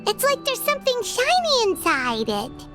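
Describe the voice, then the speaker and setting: A woman voicing a tiny, excitable, chatty fairy companion with a squeaky childlike voice in a video game. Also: normal speed, over music, full character voice. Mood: bubbly, curious. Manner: refers to self in third person, exclamatory.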